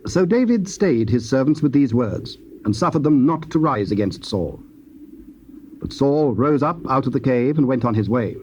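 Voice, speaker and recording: A man narrating dramatized scripture in a formal, solemn and measured storytelling style. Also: mid-range voice, expressive, a little mellow. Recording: muffled, hissy old cassette tape.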